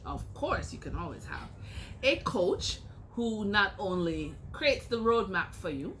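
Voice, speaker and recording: A woman's voice: mid-range voice, big pitch swings, unhurried, fairly bright tone, slight background noise. A woman giving explanatory financial-coach style advice with emphatic phrasing. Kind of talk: scripted educational advice segment.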